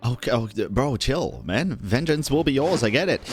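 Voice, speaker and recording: A man casually reacting to a game on stream, joking, chatty and profane. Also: mid-range voice.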